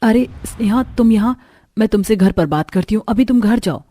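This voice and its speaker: A woman voicing a gentle, hardworking elder daughter-in-law in a cartoon story, earnest and soft-spoken. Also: mid-range voice, lively intonation, moderate pace, no echo, natural voice. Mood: hesitant, explaining. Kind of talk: Hindi cartoon story dialogue.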